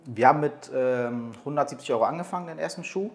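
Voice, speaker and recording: A man in his thirties from Portugal, a guest talking in a relaxed way with plenty of hesitations. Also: mid-range voice, quick pace. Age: 30s. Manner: relaxed, hesitant with many fillers. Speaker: guest, entrepreneur. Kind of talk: interview conversation. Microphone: desk microphone on boom arm.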